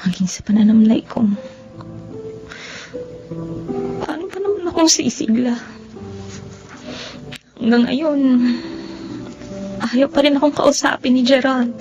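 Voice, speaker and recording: A woman's voice: high voice, measured pace, pauses often, highs cut off. An actress playing a heartbroken young woman who pleads tearfully in a radio drama. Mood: dejected. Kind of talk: Tagalog radio drama acting. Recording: music under the voice. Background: dramatic background music.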